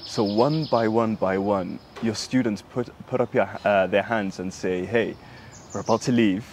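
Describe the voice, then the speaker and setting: Young sudanese guy, lowish voice, halting interview answers w lots of ums. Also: steady tone, darkish tone, slight background noise. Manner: thoughtful, halting, many fillers. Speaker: interviewee, human rights activist.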